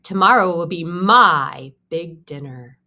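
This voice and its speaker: A woman's voice: lowish voice, lively intonation, takes it slowly, sharp tone, voice pushed down, heavily acted. A woman voicing a hungry but gullible mother crocodile in a children's storybook, with emphatic, dramatic delivery. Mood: menacing. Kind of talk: acted children's storybook read-aloud.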